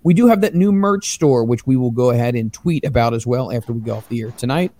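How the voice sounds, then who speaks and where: A man hosting a casual wrestling podcast, loud, excitable and profane. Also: mid-range voice, fast. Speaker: podcast host.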